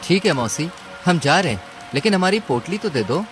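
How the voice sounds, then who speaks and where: Man voicing a lively, clever cartoon boy mouse. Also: fairly high pitch, normal speed, slight background noise. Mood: hurt, apologetic. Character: clever, apologetic young male mouse. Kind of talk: Hindi cartoon story acting.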